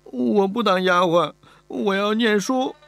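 A man's voice: high-pitched, takes it slowly, gravelly, a bit bright, pitched above the performer's own voice, heavily acted. A man doing a defiant little girl. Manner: stubborn, pleading. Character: defiant young servant girl.

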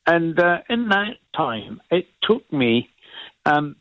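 An older Scottish man calls in, his voice sitting a little high for a man. He talks earnestly and haltingly over a dull, lo-fi phone line, and the sound is dry and close. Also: big pitch swings, no echo. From Scotland. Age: 70s. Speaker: caller. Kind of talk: talk radio call.

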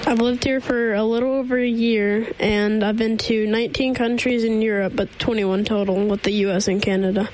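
A woman's voice: fairly high pitch, level tone, normal speed, hardly any pauses, darkish tone, poor audio. A teenage girl answering interview questions on the radio, hesitant with many ums. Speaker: student guest.

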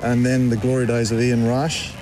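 A middle-aged man from Africa, a guest talking in a relaxed, hesitant way with many pauses. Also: mid-range voice. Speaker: interview guest, amateur goalkeeper. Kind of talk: casual chat. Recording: loud outdoor street noise.

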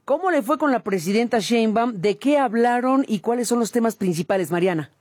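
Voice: mid-range voice, lively intonation, fairly quick, darkish tone. A host, introducing a guest and asking questions with many hesitations and fillers. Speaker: interview host. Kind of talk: broadcast interview.

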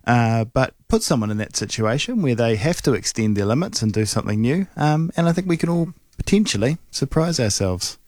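A man, a psychotherapist on radio, explaining calmly with dry humor. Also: mid-range voice, a little fast, hardly any pauses, no echo. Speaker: psychotherapist guest. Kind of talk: calm explanation. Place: radio studio.

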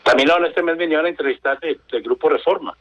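A man in his fifties, a paramedic calling in to a radio show, chatting casually and telling stories with slangy, quick speech. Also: fairly high pitch. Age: 50s. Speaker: paramedic caller. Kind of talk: casual phone chat. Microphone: phone. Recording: dull lo-fi phone line.

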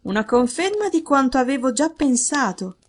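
A woman reader voicing a keen, inquisitive male detective with a measured, probing manner, in an audiobook story. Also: high voice, sing-song, slight background noise, pitched above the performer's own voice. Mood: pensive.

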